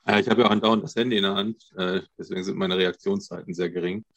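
Gravelly, low-voiced man chatting. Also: steady tone, fast talker, dry recording. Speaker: podcast co-host. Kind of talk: casual chat.

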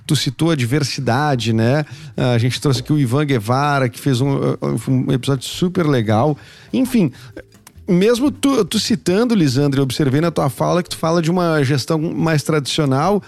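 A somewhat high-voiced man hosts a podcast interview. He circles into his questions with restarts and fillers. His voice has a gravelly fry, and the recording is dry and close. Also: moderate pace, a little mellow, no echo. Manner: hesitant, many restarts. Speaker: podcast host.

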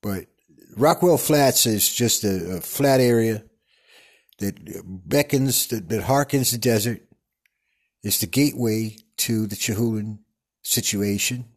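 A slightly low-voiced old cowboy actor with a Texan accent, as a man puts him on. He tells long, wandering tales of the desert, full of restarts and stammered repeats, and keeps straying from his point. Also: unhurried. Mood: rambling. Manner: meandering, digressive storytelling with many stumbles. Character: rambling old male Western TV actor. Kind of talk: improvised comedic character monologue. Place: studio.

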